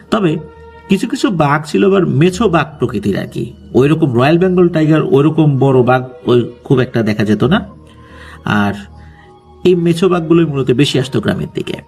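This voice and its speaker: Creaky-voiced man telling ghost stories. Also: medium pitch, a little mellow. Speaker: storyteller, horror story host. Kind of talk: informal spoken narration of ghost stories. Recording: music bed under the voice. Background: background music.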